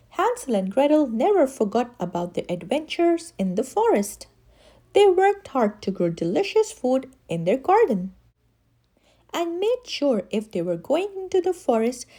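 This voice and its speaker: A woman reading a children's story aloud in an expressive way, with animated, dramatic emphasis. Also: sits high. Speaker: children's story reader and channel host.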